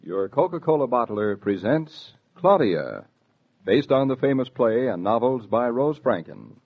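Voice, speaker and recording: A man's voice: mid-range voice, sing-song, a little slow, breathy edge. A man announcing a sponsored programme in a 1940s American radio style. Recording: thin, narrow 1940s radio recording.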